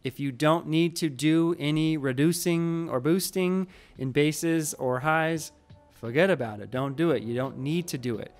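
A man in his thirties teaching audio editing in a relaxed, explanatory way, recorded on a studio microphone in a small home studio. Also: on the high side, a little slow, soft background music. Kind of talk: screen-recorded tutorial. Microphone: studio mic in frame, wearing headphones.

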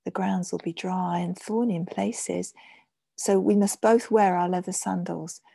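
A woman voicing an excited, exuberant young Roman mistress with breathy, eager exclamations in a storybook reading. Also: lowish voice, unhurried, dry recording, exaggerated voice. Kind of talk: audiobook character voice.

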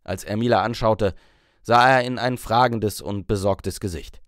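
A man's voice: fairly low pitch, normal speed, fairly bright tone, no echo, clean studio sound. A man reading a story aloud as an audiobook narrator in scripted storytelling style.

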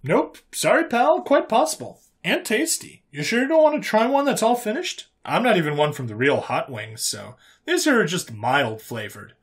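Breezy human interrogator played by a male narrator, pitched up and swinging, folksy sci-fi storybook good guy. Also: normal speed, few pauses. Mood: calm, amused. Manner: folksy, calm, drawling colloquial phrases.

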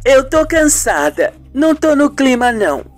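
A man's voice: very high voice, sing-song, moderate pace, no room sound, faint music behind, pitched above the performer's own voice. A man voicing a gossipy, suspicious wife in a radio serial, with an emphatic, colloquial way of talking. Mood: indignant.

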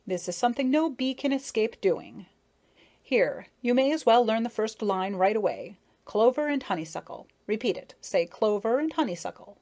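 A woman's voice: fairly high pitch, lively intonation, moderate pace, warm tone, pitched above the performer's own voice. A woman plays an elderly female bee who teaches the young in a children's storybook. She is strict and fussy, lecturing with exasperated, sighing firmness. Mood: instructive, firm. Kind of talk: children's storybook character reading.

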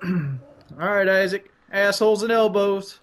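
A man's voice: high-pitched, little pitch movement, a little slow, a bit bright, smooth. A man chatting casually and a bit crudely while playing a co-op video game. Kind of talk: casual co-op gameplay chat.

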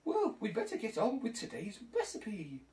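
A woman's voice: on the high side, huge pitch swings, moderate pace, warm tone, reverberant, recorded from a distance. A woman voicing a polite, bumbling monkey presenter in a comic puppet show. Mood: chatty. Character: chatty monkey presenter of a cookery spot.

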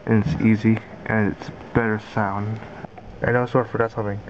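A man giving a casual DIY tutorial in halting, repetitive, simple phrases, recorded indoors on a handheld camera's built-in mic. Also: fairly low pitch. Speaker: amateur maker demonstrating a homemade gadget. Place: carpeted room indoors. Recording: dull lo-fi camera audio, steady noise.

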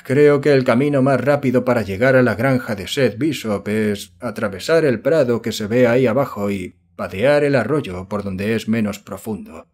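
Man reading as country villager, husky, helpful, measured, storybook dialogue. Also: fairly low pitch, a little mellow, close to an everyday voice. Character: rural local man calmly pointing out the way.

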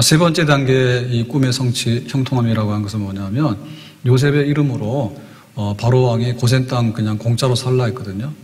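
Man in his sixties, pastor, sermon delivery, explanatory storytelling, rhetorical questions, medium pitch, long church-hall reverb. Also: a little mellow. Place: church hall, indoors at a pulpit. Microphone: podium microphone.

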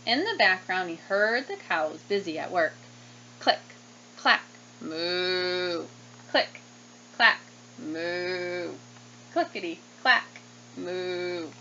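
Animated woman reading a picture book aloud. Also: fairly low pitch, very slow, long pauses. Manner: animated, questioning, child-directed. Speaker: teacher reading aloud to children. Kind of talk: expressive picture-book read-aloud with asides. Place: small room indoors at desk. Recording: slightly dull webcam sound, faint noise.

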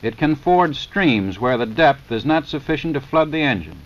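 An American man reading a formal 1930s scripted narration for a training film in a measured, matter-of-fact, instructional manner. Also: mid-range voice, lively intonation. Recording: dull, lo-fi 1930s film soundtrack.

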